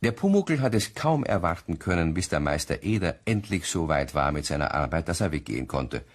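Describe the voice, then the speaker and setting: A man narrating a children's radio play as a storyteller, in a lively scripted style. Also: low voice, fairly quick. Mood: storytelling.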